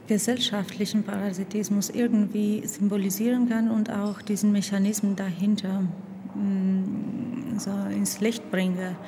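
A young woman talks through her student artwork, pausing and hunting for words. Her pitch stays flat and even throughout. Clear background noise hisses under the recording. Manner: soft-spoken, hesitant, searching for words. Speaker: art student interviewee. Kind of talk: casual interview answers. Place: basement exhibition room. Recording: noisy background.

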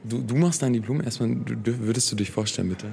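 A man's voice: medium pitch, fast talker, vocal fry, a little mellow, a little hiss or hum. A man interviewing art students at an exhibition, asking curious questions in a casual way.

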